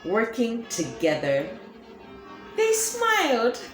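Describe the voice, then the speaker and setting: Slow, lively woman reading a children's story. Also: fairly high pitch, roomy sound, faint music behind. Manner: expressive, animated. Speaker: storybook reader.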